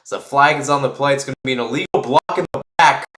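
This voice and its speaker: A man's voice: mid-range voice, fast, fairly bright tone. A man giving live American football play-by-play, talking conversationally and thinking aloud between plays. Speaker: play-by-play sports commentator. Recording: roomy, echoing sound.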